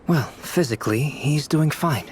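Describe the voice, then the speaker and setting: An actor playing a calm, analytical young alchemist in a video game, explaining things in a measured, precise manner. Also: male voice, fairly high pitch, slight background noise, lightly acted. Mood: composed. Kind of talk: video game dialogue acting. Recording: music playing behind the voice.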